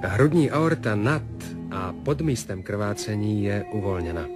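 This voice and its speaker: An actor playing a medical narrator who reports on surgery in a formal, measured, clinical way in a 1970s radio drama. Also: male voice, lowish voice, natural voice. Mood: clinical, grave. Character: male medical narrator reporting a surgical operation. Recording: music under the voice.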